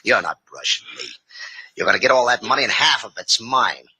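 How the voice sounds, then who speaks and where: An actor in an old-time radio crime drama playing a cocky, sneering gangster killer, taunting and wisecracking in a raspy voice. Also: male voice, mid-range voice, animated, a little fast, sharp tone, dry recording, exaggerated voice, rough recording. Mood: taunting, menacing.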